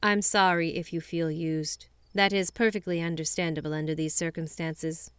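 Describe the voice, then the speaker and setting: A woman playing a coolly calculating, haughty high-school girl in a dubbed comic drama, speaking in formal, wordy, condescending phrases. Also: fairly even intonation, normal speed, clean tone, no echo, close to an everyday voice. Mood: soft, polite. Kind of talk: scripted audio comic drama dub. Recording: voice over background music.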